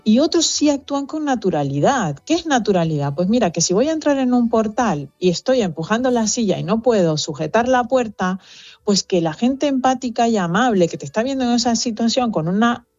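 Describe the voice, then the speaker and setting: A middle-aged woman with a Venezuelan accent, speaking thoughtfully as an interview guest, pausing with fillers as she reflects. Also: a little high, lively intonation, darkish tone, no room sound, lo-fi sound. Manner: reflective, earnest, with fillers and restarts. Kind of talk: conversational interview.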